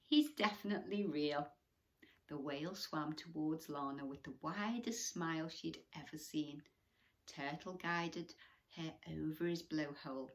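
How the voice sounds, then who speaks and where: A woman's voice: sits low, measured pace. A woman reading a children's bedtime story aloud expressively, with little asides to young listeners.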